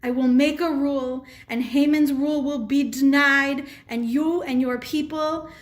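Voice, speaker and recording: A woman's voice: high-pitched, steady tone, measured pace. A woman voicing a doting, generous, easily led king in a children's puppet show, with an effusive manner. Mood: protective.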